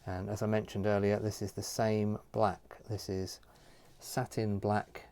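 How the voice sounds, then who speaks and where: A man's voice: lowish voice, level tone, unhurried, dark tone, smooth. A man giving a casual, unscripted how-to commentary, explaining and thinking aloud as he works. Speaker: host of a hobby craft tutorial. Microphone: phone recording.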